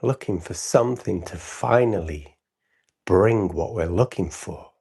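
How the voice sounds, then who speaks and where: A man leading a live guided meditation, speaking in short unscripted phrases with long pauses and a soothing, instructing manner. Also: low voice, gravelly, a little mellow, husky. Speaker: meditation teacher hosting a livestream.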